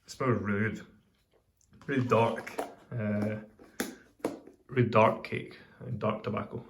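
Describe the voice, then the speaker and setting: Rambling Scottish man, low voice. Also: steady tone, background noise. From Scotland. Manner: rambling, hesitant, many ums and restarts; pauses while lighting a pipe. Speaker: pipe tobacco reviewer. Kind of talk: casual video review.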